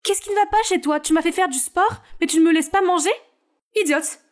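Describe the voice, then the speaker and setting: A woman's voice: very high voice, brisk, voice pushed up. A woman voicing an overworked teenage girl who whines and complains about her strict training. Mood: indignant. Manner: whiny, complaining.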